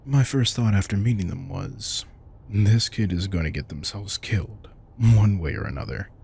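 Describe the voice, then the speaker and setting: A man voices an ancient vampire king with a very low, creaky voice. He speaks like a wry, protective mentor in an intimate audio drama, reflective and casually frank. Faint background noise sits under the voice. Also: normal speed, a little mellow. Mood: wistful. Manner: casual, reflective, swearing, addresses listener directly. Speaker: audio roleplay performer. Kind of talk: intimate scripted audio roleplay, conversational monologue.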